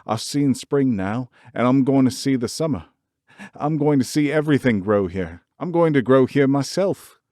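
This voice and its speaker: A man's voice: medium pitch, expressive, normal speed, warm tone, dry recording, studio quality, pitched above the performer's own voice, lightly acted. A man reading the part of a frail, imperious young boy, earnest and commanding.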